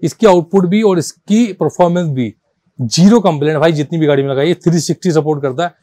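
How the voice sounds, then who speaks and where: Higher-pitched man giving a sales pitch. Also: quick pace, dark tone, dry recording, clean studio sound. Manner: insistent, repetitive, addressing viewers as brother; mixes English numbers and terms into speech. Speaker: car accessories shop seller presenting stock. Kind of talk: informal sales pitch, product walkthrough.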